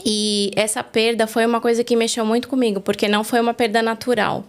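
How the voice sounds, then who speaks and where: Earnest Brazilian woman with gravelly voice. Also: a little high, level tone, quick pace. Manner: earnest, reflective storytelling. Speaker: guest. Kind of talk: testimony in a faith interview. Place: studio.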